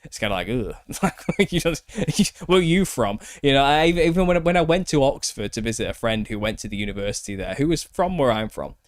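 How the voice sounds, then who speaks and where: A man's voice: medium pitch, animated, fairly quick, hardly any pauses, no room sound, studio quality. A man with a Lancashire accent from northwest England chats casually as a podcast host, rambling and self-deprecating. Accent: Northern English, Lancashire. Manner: chatty, rambling, self-deprecating, laughs often. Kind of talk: casual chat.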